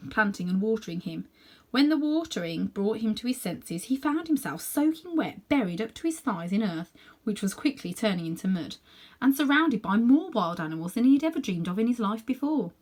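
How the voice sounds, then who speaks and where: A woman reading a children's book aloud in an animated, dramatic way, sometimes softening toward hushed tones. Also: on the high side, moderate pace. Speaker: storybook reader. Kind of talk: expressive read-aloud narration for children.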